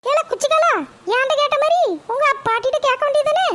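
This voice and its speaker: A woman voicing a quarrelsome young mother, shrill and snapping. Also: quick pace, background noise. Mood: angry.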